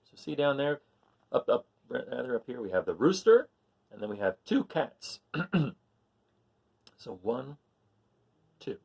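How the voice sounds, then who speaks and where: A man reads a children's picture book aloud with a lively, sing-song voice that rises and falls freely. He often stops to count along with his young listeners and ask them what comes next, in a warm teacherly way. His voice sits at an ordinary middle pitch. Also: takes it slowly, gravelly, pauses often, husky. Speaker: storyteller reading a picture book.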